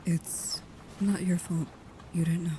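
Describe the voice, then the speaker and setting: A woman playing a guarded, protective vampire with a painful past, speaking slowly, softly and hesitantly, often in whispers, in an intimate ASMR roleplay. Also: on the low side, little pitch movement, background noise. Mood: gentle, uneasy.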